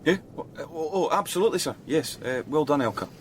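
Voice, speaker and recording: A man's voice: fairly high pitch, expressive, fast, slight background noise, close to an everyday voice. A man acting in a radio drama as a bumbling, eager junior policeman, hesitant and flustered.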